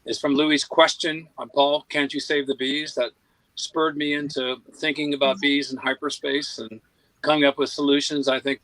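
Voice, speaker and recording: Bright, flat-toned man speaking slowly. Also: fairly high pitch, no echo, poor audio. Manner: thoughtful, unhurried, pauses between words. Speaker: guest scientist. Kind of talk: live-streamed conversation. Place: on a boat.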